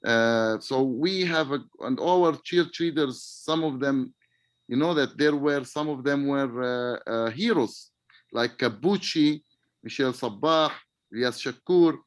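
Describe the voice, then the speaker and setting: A man from Palestine, answering interview questions on a video call in a halting way, searching for words. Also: medium pitch, no room sound. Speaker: webinar guest.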